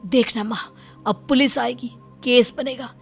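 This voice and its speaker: A woman's voice: a little high, steady tone, unhurried, gravelly, slightly rough, full character voice. A woman voicing a worried young husband. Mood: anxious. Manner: anxious, firm. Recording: faint background music.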